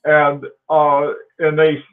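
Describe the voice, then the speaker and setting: Guy in his forties rambling on a live stream, kinda high voice, sounds like a phone line. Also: completely flat delivery, slow. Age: 40s. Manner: rambling, laughing often, with many fillers and restarts. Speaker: host. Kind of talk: casual live-stream chat.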